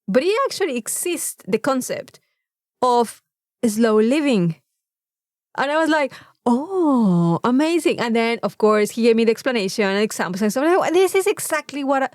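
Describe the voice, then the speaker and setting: A woman's voice: a little high, expressive, normal speed, no echo, studio quality. A woman with a Mexican accent and Spanish as her first language, chatting casually as a podcast co-host. She is effusive and repeats words for emphasis. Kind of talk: casual chat, anecdotes.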